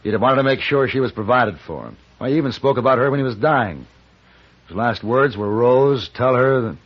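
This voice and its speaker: An actor playing a cool, shrewd western gunfighter in measured, terse old-time radio style. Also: male voice, medium pitch, animated, breathy edge. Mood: intent, resolute. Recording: muffled, worn old radio recording.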